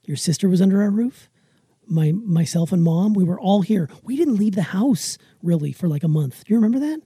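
Middle aged lady podcasting, really raspy mellow voice, sure of herself, close dry sound. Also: mid-range voice, animated, brisk, dry recording. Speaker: podcast co-host. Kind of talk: casual chat with emphatic opinion.

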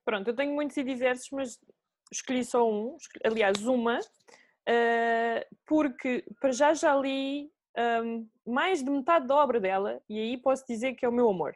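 A woman's voice: fairly high pitch, moderate pace, no echo. A woman with a European Portuguese accent, co-hosting a casual chat about books. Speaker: book podcast co-host.

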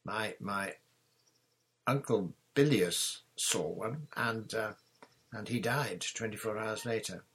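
A man's voice: fairly low pitch, takes it slowly, fairly bright tone, slightly rough, heavily acted. Man voicing an earnest, worried schoolboy. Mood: serious, worried. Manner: serious, hesitant.

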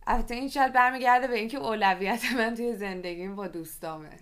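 A woman in a casual podcast chat, speaking informally and mixing English words into her speech. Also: on the high side. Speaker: podcast guest or co-host.

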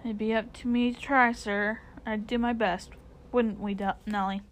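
A woman voicing a humble, honest working-class male cab driver with a Cockney accent, halting, deferential and plain-spoken, in a storybook reading. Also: fairly high pitch, steady tone, slight background noise, natural voice. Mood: humble, earnest.

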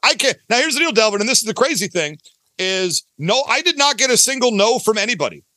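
A man's voice: sits high, sing-song, quick pace, bright, forceful tone, no echo. A middle-aged man chatting casually as a podcast guest, rambling and emphatic in an enthusiastic, gushing way. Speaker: podcast guest, audio drama creator. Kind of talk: casual, rambling interview chat.

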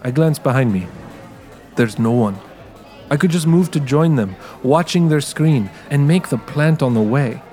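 Man, first-person story narrator, wary streetwise ex-con character, mid-pitched voice, music behind. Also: animated, unhurried, warm tone. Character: wary, streetwise male ex-con in a tech dystopia. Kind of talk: first-person dramatized audio fiction narration.

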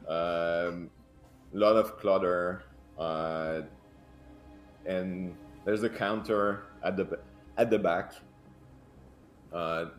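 A man narrating a tabletop game as game master, hesitant with many fillers and restarts. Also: low voice.

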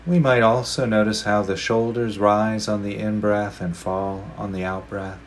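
A man leading a guided meditation, speaking slowly and gently with long pauses between phrases. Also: lowish voice, steady tone, slight background noise. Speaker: meditation teacher.

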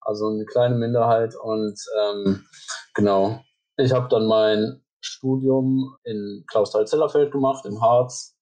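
Young Belgian man, East Belgian German accent, dark even tone, unhurried interview answers. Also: medium pitch, low-quality recording. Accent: German-speaking East Belgium. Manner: relaxed, even delivery with frequent fillers. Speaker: biathlete guest.

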